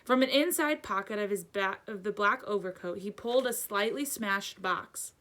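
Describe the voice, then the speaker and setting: A woman reading a children's story aloud as a teacher would, in expressive narration. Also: medium pitch, normal speed.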